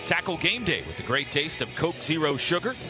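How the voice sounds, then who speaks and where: A man's voice: a little high, sing-song, a little fast, over music. A man calling football play-by-play on radio in clipped, vivid phrases that swell on big plays. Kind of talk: live football play-by-play commentary. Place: stadium broadcast booth. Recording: clearly noisy live stadium broadcast.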